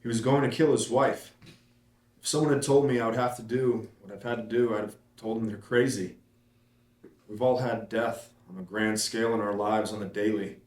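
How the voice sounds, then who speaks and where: A low male voice from an actor at a table read plays a man gone numb after taking a life. He speaks gravely and flatly, somewhat far from the mic. An echo hangs in the room. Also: moderate pace, lightly acted. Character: numb man, Ethan, who killed someone. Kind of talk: screenplay table reading.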